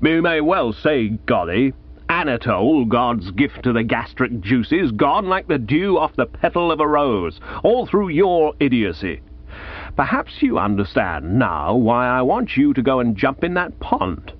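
A man's voice: medium pitch, sing-song, unhurried, lo-fi sound. A man voicing an exasperated middle-aged aunt who scolds her nephew theatrically. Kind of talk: audiobook character voice.

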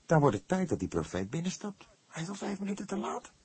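Man playing a high-pitched, brash young detective. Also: sing-song, fast. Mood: impatient. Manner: sharp, blunt, challenging. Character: brash, impatient young male police detective.

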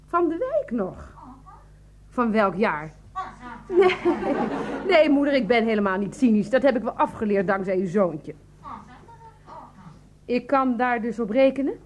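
Blunt, sarcastic, fed-up woman, radio play; high voice, swooping indignant delivery. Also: darkish tone. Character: sharp-tongued, exasperated woman, Claire, mocking and blunt. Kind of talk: 1990s radio drama acting.

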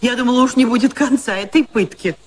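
An actress playing an imperious, witty society princess in a radio play, grand, exclamatory and drily sarcastic. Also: female voice, a little high, quick pace, noisy background, close to an everyday voice. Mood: exasperated disdain. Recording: music playing behind the voices.

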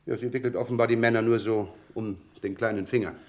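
An actor as a male police inspector in a radio crime drama, speaking in a fairly low voice. He is brisk, polite and sure of himself, with a dry, ironic amusement in how he lays out the facts. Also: steady tone, darkish tone, close to an everyday voice. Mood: courteous, explaining. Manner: brisk, courteous, wryly ironic.

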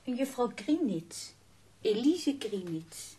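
An actress playing an affectionate, coaxing wife in a radio drama, with a breathy voice and a soft, intimate delivery. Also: female voice, on the high side, big pitch swings, slow pace, full character voice. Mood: matter-of-fact. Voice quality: breathy, husky. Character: coaxing, affectionate wife who loves fine clothes.

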